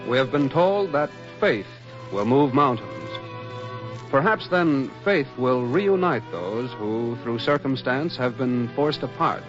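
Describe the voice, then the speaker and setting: A man narrates as a vintage radio announcer, solemn and measured. His pace is slow, and music plays clearly behind him on a dull, old 1940s recording. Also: mid-range voice, expressive.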